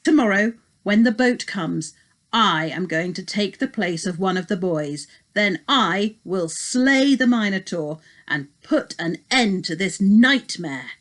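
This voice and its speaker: Bold young warrior prince for a storybook, played by a woman: determined, emphatic, animated, slightly raised pitch. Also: takes it slowly. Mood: resolute. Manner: emphatic, declaiming. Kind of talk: storybook character voice.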